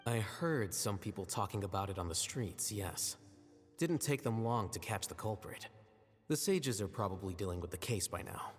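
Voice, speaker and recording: An actor as a low-voiced, aloof young scholar. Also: male voice, big-room sound, faint music behind, natural voice. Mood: cool, composed. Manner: measured, formal, deadpan. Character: composed, cerebral, aloof young male scholar. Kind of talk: video game voice acting.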